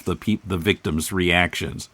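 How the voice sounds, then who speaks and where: A man's voice: sits low, fairly even intonation, measured pace, pushed and bright. A man hosting a casual discussion about books, speaking a little hesitantly and correcting himself as he goes. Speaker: podcast host discussing comics.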